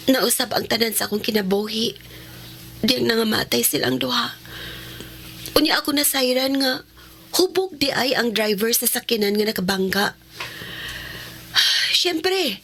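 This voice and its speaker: A woman's voice: a little high, bright, forceful tone, a little hiss or hum. A woman acting a sincere widowed mother in a radio drama, carrying grief and recovering from a breakdown.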